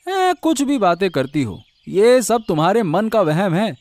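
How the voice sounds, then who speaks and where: Man playing very high-pitched, animated bossy husband. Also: a little slow, no room sound, full character voice. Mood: chiding. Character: bossy but caring, chatty husband. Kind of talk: acted Hindi audio story dialogue.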